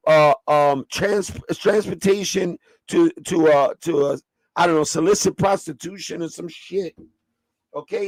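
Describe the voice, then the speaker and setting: A man with a raspy voice, hosting a casual livestream and breaking things down step by step in a streetwise, profane way. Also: a little high, no room sound, rough recording. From New York. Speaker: livestream host.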